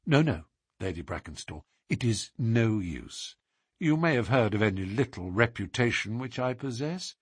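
A man voicing a courteous, assured gentleman detective with a measured, persuasive manner in an audiobook story. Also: on the low side, animated, breathy edge, no room sound, studio quality. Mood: firm.

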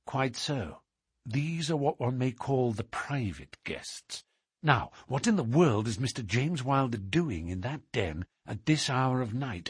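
A man reading aloud, voicing a cool, measured, sharp-witted gentleman detective. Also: a little high, breathy edge, no echo, clean studio sound, voice pushed up, heavily acted. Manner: cool and measured, dropping to a hushed whisper.

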